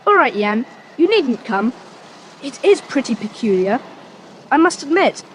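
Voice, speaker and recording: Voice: sits high, very animated, a little slow, a little hiss or hum, poor audio. A performer playing a game but cautious young schoolboy adventurer in a children's audiobook drama, earnest and well-spoken. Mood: uneasy, friendly.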